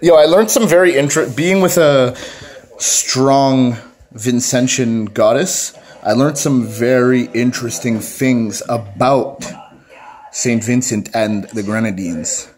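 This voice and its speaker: A man's voice: medium pitch, slow pace, a little mellow. A man talks loosely to the camera in a mid-range voice that sits right in the middle for a man. He rambles and jokes at his own expense and swears freely along the way. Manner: rambling, profane, self-mocking. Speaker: vlogger. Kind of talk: casual joking vlog chat.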